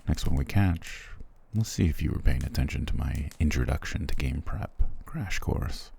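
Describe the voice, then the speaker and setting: A man playing a cruel, proud, weary soldier prince in an ASMR fantasy roleplay, curt and condescending, with a gravelly, husky voice. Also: rumbling low, lively intonation, normal speed, warm tone, full character voice. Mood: weary, dry. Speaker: voice actor in audio roleplay.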